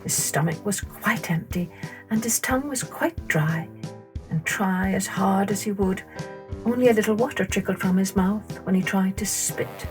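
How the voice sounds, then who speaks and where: A woman narrating scripted children's folktales as a storyteller, in an expressive, measured way. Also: mid-range voice, faint music behind. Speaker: storyteller, podcast host.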